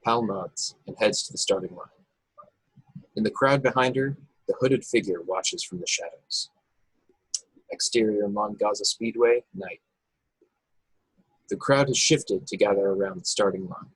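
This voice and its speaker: A man's voice: fairly low pitch, a little slow, pauses often, a bit bright, husky, no echo, rough recording. A man narrating stage directions from a script at a table read over a video call. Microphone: video call, close and dry.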